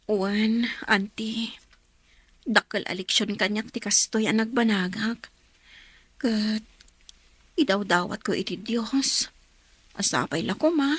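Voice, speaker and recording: A woman's voice: mid-range voice, slow, long pauses, fairly bright tone, husky, dry recording. A woman with a Filipino accent playing a young pregnant daughter, sorrowful and humble, in a radio drama. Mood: sad, resigned.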